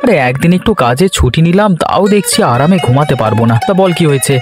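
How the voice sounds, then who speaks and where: A man voicing a grumbling, lazy village husband in a cartoon, complaining and exclaiming, with music clearly behind him. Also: on the high side, animated, fast, lightly acted. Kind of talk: cartoon voice acting.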